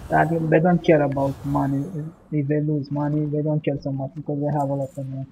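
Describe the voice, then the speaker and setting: A man from Romania chats loosely over his game stream, his plain and his sentences looping through fillers and "you know?". His voice sits a bit high yet sounds soft and mellow. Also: flat delivery, fast, gravelly, slight background noise, low-quality recording. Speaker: gaming streamer. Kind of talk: casual chat while gaming.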